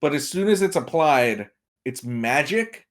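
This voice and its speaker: A man's voice: on the high side, animated, measured pace. A man speaking casually and enthusiastically as a guest on a video game podcast.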